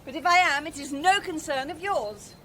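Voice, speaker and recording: Haughty, high-voiced English wife. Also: female voice, normal speed, a bit bright, slight background noise. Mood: defiant. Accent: British Received Pronunciation. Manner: cool, cutting. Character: haughty, defiant upper-class English wife. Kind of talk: radio drama acting.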